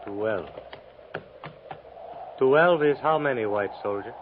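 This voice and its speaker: Slow, halting young brave. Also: male voice, medium pitch, lively intonation. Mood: guarded, questioning. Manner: slow halting broken speech, clipped phrases. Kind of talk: 1950s radio western acting. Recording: muffled, noisy 1950s radio recording.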